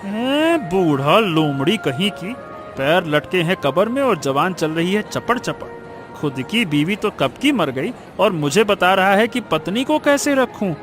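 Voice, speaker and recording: A man voicing a greedy, two-faced village shopkeeper in an animated moral story, with an oily, exaggerated manner. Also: high voice, unhurried, over music. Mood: spiteful muttering. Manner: obsequious, wheedling with chuckles, turning snide.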